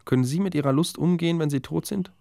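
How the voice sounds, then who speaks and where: A man interviewing, haltingly and insistently repeating his probing questions. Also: a little high, gravelly, dark tone, dry recording, studio quality.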